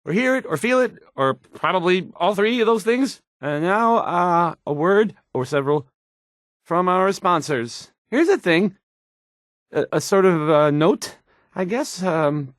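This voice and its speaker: A shy young game-world radio DJ with a high voice that swings up and down. He hesitates, stammers and apologizes, fumbling slowly toward the end of each sentence. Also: expressive, dry recording, clean studio sound. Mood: nervous, apologetic, then awkward, hesitant. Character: painfully shy, nervous young male radio DJ. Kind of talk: video game radio broadcast acting.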